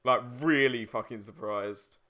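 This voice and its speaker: A young man with a bright, clear-cut voice co-hosts a let's play. He talks casually while he plays, in an informal, sweary way. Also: mid-range voice, unhurried. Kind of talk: casual chat while playing a video game.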